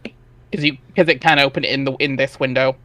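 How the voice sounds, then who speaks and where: A man's voice: mid-range voice, fairly even intonation, moderate pace, bright, forceful tone, dry recording. A man narrating a comic read-aloud in a casual way, chatting between lines, on a headset mic. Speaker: narrator of a webcomic dramatic reading.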